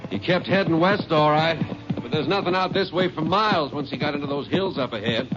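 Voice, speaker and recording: A man's voice: on the high side, fairly quick, over music, close to an everyday voice. A man playing a plainspoken, folksy country sheriff in a 1950s radio western drama, talking with a drawling delivery. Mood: helpful, certain. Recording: noisy, muffled 1950 radio recording.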